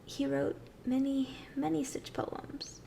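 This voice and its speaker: A gentle, ancient tree dryad, played by a woman, speaks in a high, very creaky voice at a slow, soothing pace. The delivery is soft and breathy, often near a whisper, in the style of a tender fantasy ASMR roleplay. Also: level tone, slight background noise, full character voice, poor audio. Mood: wistful, gentle.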